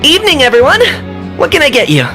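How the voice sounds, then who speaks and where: Game bit part: hospitable, good-humored chef, folksy, wildly varied pitch, music behind. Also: female voice, huge pitch swings, fast, full character voice. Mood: breezy, welcoming. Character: breezy, good-humored restaurant chef greeting customers. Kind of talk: video game voice acting.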